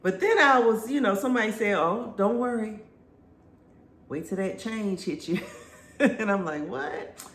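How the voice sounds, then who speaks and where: A woman's voice: medium pitch, unhurried, long pauses. Stuffy-voiced woman in her fifties. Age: 50s. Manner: chatty, laughs often, lively storytelling. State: congested, stuffy-sounding. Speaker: vlogger. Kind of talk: casual chat to camera. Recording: echoey room, faint background noise.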